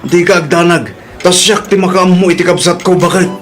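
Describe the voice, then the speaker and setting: A man's voice: sits high, steady tone, fairly quick, music in the background, natural voice. A man playing a shifty, brazen grown son who demands money, in an Ilocano radio drama. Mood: dismissive, low.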